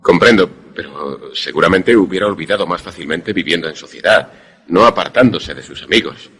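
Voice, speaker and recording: Deep, slightly husky male storyteller. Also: fairly quick, fairly bright tone. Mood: courteous, concerned. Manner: formal, literary. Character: courteous former pupil narrating his suspense tale. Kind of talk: radio drama narration and dialogue.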